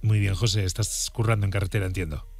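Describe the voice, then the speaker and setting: A male radio host speaks live. His voice sits on the low side, and music plays clearly underneath as he presents and takes calls. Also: steady tone, fast talker. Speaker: radio call-in show host. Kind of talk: live radio talk hosting. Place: radio studio.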